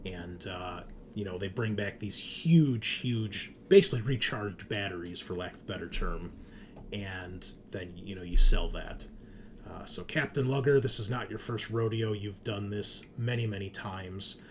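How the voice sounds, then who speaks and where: A man with a fairly low voice acts as game master for a tabletop roleplaying game. He explains the setting conversationally and speaks straight to his players. There is faint noise behind him. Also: a little slow. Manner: explanatory, addresses players directly.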